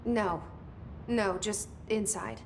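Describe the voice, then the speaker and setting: A woman's voice: mid-range voice, takes it slowly, a little hiss or hum. A woman playing a guarded, searching young outsider heroine in a video game, speaking in an understated, introspective way. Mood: questioning. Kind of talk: naturalistic video game dialogue and inner monologue.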